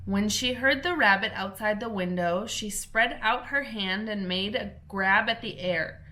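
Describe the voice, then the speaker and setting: A woman, a teacher, reading a children's storybook aloud in a gentle, clear storytelling manner. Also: mid-range voice, a little slow.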